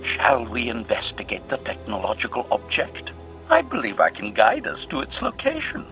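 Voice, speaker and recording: Polite droid servant, gravelly, bright, formal, analytical, deferential, video game. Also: male voice, mid-range voice, huge pitch swings, normal speed, soft background music, full character voice. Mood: eager, deferential. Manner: formal, announces each sentence's intent with one word. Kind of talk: scripted video game character acting.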